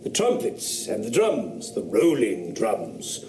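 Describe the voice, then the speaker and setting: An actor playing a skeptical 18th-century gentleman scholar who talks down to a witness with rhetorical flourish. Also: fairly low pitch, wildly swooping pitch, echoey room, a little hiss or hum, full character voice. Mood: condescending. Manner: brisk, condescending, rhetorical. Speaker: investigator questioning a witness. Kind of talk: radio drama acting.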